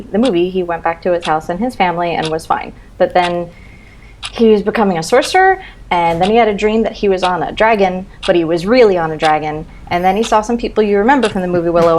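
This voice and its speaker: A woman's voice: on the low side, a little fast, darkish tone. A woman guesting on a podcast, chatting casually and comically in a wry way. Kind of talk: casual comedic chat. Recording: slight background noise.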